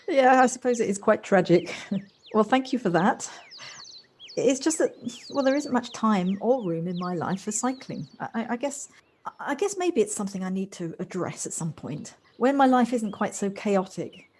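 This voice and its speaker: A woman's voice: mid-range voice, animated, moderate pace, a little mellow, a little hiss or hum, close to an everyday voice. An actress playing a polite, flustered, self-doubting city woman in an audio play, hesitant and apologetic as she talks. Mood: bashful, polite.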